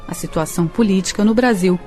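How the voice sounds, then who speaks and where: A woman's voice: mid-range voice, fast talker. A woman with a Brazilian accent reading scripted historical narration for a radio drama. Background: music.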